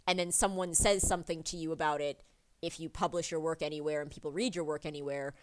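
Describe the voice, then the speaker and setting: Rambling woman with a low voice. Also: steady tone, fast. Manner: rambling, with many fillers and restarts. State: sniffly from a cold. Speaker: artist streaming a drawing session. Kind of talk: casual chat while drawing.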